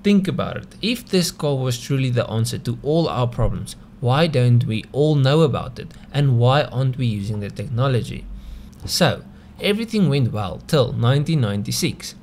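A man's voice: mid-range voice, animated, moderate pace, a little mellow. South African man narrating over background noise. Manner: conversational, rhetorical questions. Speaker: video host. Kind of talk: scripted narration for an explainer video.

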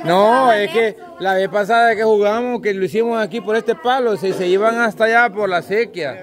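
High-voiced Salvadoran man directing a group. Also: normal speed. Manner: calls out instructions to a group. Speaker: host filming and refereeing a group game. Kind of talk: casual unscripted group chat, giving game instructions. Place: outdoors under an open-sided park gazebo. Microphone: handheld camera, speaker behind it. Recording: outdoor group chatter around the voice.

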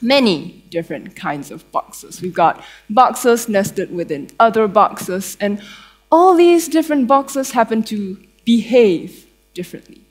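A woman's voice: fairly high pitch, animated, unhurried. A young woman with a Malaysian accent giving a tech conference talk on stage in a conversational, playful way with dry humour. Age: young adult. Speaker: conference speaker, developer advocate. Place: theatre stage before an audience.

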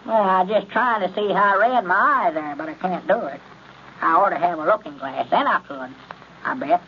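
Excitable old country storekeeper, high-pitched, rural Southern drawl, old radio comedy, muffled noisy recording. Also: male voice, moderate pace. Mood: fretful. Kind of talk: 1930s radio comedy acting.

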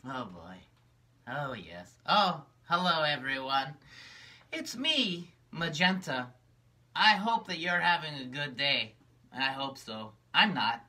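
A woman's voice: deep voice, very animated, slow, bright, forceful tone, exaggerated voice. A woman voicing a sad, timid puppet girl in a children's puppet show, talking in a mopey, hesitant way.

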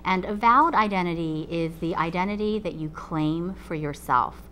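A low-pitched woman professor speaks in a measured, explanatory way, often pausing on "um". Her first language is Chinese. She sits in a TV studio, and a faint hum runs under the voice. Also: sharp tone. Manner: measured, explanatory, frequent ums. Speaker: college professor. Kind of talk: recorded talk to a webinar audience. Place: TV studio with a painted backdrop.

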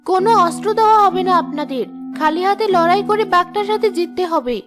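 A woman plays a haughty young princess from a cartoon fairy tale. Her voice is extremely high and girlish. Faint music plays underneath. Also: fairly quick. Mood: commanding.

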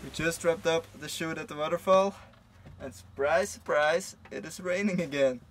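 Young man, Dutch speaker, slightly high voice, casual travel vlog, clear music behind. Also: normal speed. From Belgium. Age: 20s. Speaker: travel vlogger. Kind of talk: casual vlog narration to camera. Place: inside a camper van and outdoors on trips. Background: background music.

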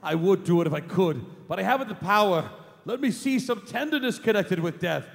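Pleading, frightened old miser, acted by a man: high, creaky, radio play in a reverberant hall. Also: animated. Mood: fearful. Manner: anxious, halting, imploring. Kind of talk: staged radio drama reading. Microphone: stage mic on stand.

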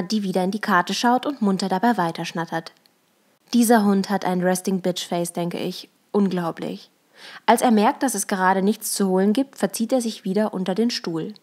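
A woman's voice: medium pitch, a little mellow. A young adult woman reading her own short story as a first-person literary narrator. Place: improvised desk studio at home.

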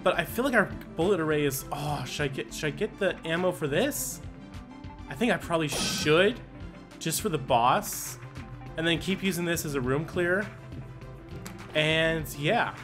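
A man giving let's play commentary, thinking aloud, reading item text and breaking into quick excited exclamations. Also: on the high side. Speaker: gaming channel host. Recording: game music playing underneath. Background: game music.

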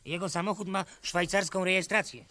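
An actor playing a brisk, self-assured, adventurous boy in an old 1970s radio play. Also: high voice, steady tone, pushed and bright. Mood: brisk, confident.

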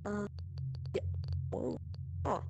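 A woman's voice: slow, music in the background. A woman chatting casually while playing a VR game, arguing insistently and getting exasperated with the other players. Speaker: VR gamer. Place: at home, playing in VR.

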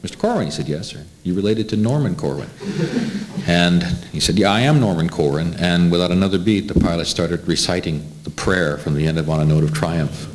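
A middle-aged American man shares an anecdote from a panel table. His voice is very deep and his delivery informal. The amateur recording carries a faint noise. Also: fairly quick. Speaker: panel interviewer. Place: indoor room, seated at a panel table.

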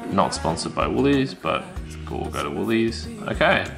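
Australian man, 30s, very low voice, chatty family vlogger, camera held at arm's length, roomy home kitchen. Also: sing-song, moderate pace, gravelly, fairly bright tone. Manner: chatty, joking with his kids while explaining steps. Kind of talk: casual cooking vlog. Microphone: handheld camera at arm's length. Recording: roomy kitchen with background music.

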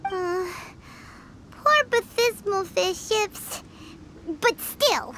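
A woman voicing a tiny, excitable, childlike fairy companion in a video game, with a squeaky, dramatic, easily indignant delivery. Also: very slow, background noise, heavily acted. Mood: worried. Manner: refers to herself in third person, dramatic. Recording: loud music behind the voice.

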